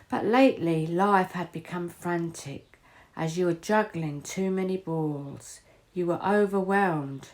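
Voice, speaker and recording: A middle-aged woman reading a scripted guided meditation in a measured, deliberate way, pausing between short phrases. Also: lowish voice, darkish tone. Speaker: guided visualisation host. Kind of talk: guided meditation, scripted second-person narration.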